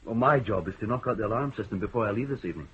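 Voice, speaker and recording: An actor playing a worried, indebted bank clerk in a radio drama, talking anxiously and hesitantly. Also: male voice, on the low side, rapid-fire, slightly rough. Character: nervous bank clerk in debt, worried man. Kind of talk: 1970s radio drama acting. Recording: muffled old radio broadcast.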